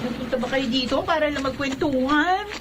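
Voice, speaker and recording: Actress as sassy high-voiced young daughter. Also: female voice, a little fast, very noisy, natural voice. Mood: playful impatience. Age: young adult. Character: sassy, attention-hungry young woman, secret illegitimate daughter. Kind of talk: Filipino radio drama acting.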